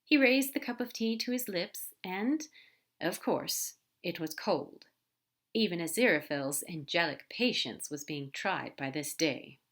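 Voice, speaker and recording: A woman narrates a lighthearted story aloud in a slightly low voice. She reads with lively emphasis and drops into soft whispers for asides. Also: a little slow. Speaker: podfic reader. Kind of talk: narrating fan fiction aloud.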